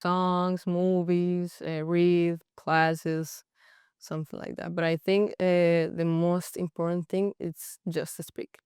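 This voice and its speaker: Soft-spoken young Colombian woman. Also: lowish voice, little pitch movement, clean tone, no echo, clean studio sound. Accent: Colombian Spanish. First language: Spanish. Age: young adult. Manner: halting non-native English with restarts. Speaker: guest, college goalkeeper. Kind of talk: casual interview chat.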